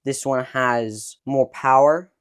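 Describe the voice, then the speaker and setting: A man explains a radio's controls one at a time in a relaxed, conversational way. His voice sits at an ordinary middle pitch. It sounds like a casual hands-on demo. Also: slow, clean tone. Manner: explains step by step, points at controls. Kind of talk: casual product demonstration.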